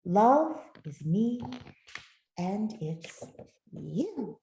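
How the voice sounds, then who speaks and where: A woman reading a picture book aloud to children in a gentle, expressive storytelling manner. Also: medium pitch, drawn-out words, slight background noise. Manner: gentle, expressive, with soft asides to listeners. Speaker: author reading her own picture book. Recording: roomy, echoey sound.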